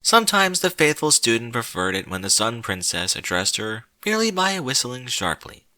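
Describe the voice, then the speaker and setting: A man reading a short story aloud in an expressive, theatrical narrator's style with wry, emphatic phrasing. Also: mid-range voice, normal speed, no echo.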